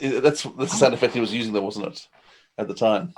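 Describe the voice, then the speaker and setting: A man's voice: lowish voice, a little fast, darkish tone, low-quality recording. A man hosting a podcast, asking questions and reacting in a casual, conversational way. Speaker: podcast host, interviewer. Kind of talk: casual interview chat.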